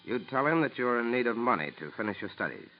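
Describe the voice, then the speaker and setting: An actor playing a formal, businesslike FBI agent with an American accent, in 1940s radio drama style. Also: male voice, mid-range voice, very animated, fast talker, faint music behind, lightly acted. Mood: businesslike, persuasive. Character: brisk, businesslike male FBI special agent. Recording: dull 1940s radio recording.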